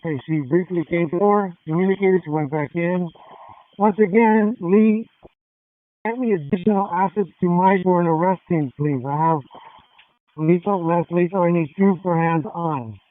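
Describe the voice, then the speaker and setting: A man working as a police tactical officer, giving clipped, procedural radio traffic with hesitant pauses over a tinny scanner. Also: high voice, dry recording.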